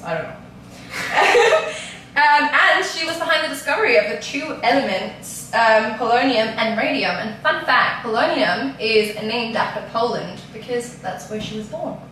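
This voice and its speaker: A woman's voice: fairly high pitch, lively intonation, a little slow, sharp tone, slight background noise. A young woman from Denmark with a Danish accent, chatting casually and giggling as she hosts a vlog. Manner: giggly, chatty, self-mocking. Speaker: vlog host. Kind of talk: casual chat. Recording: echoey room, mic far off.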